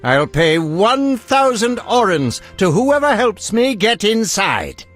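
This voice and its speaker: An actor playing an eccentric, self-important alchemist in a video game, obsessive and theatrical, with fussy delivery. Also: male voice, sits high, very animated, slow, fairly bright tone, faint music behind, exaggerated voice. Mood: pompous, eager.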